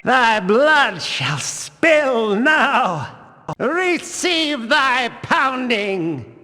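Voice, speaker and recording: High-pitched zealous guard, long reverb. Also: male voice, big pitch swings, drawn-out words, bright, forceful tone, exaggerated voice. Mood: furious, battle cry. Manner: declamatory, archaic, commanding speech. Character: zealous male religious guard hunting thieves, stern and combative. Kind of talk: video game voice acting, archaic battle barks. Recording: reverberant hall-like echo.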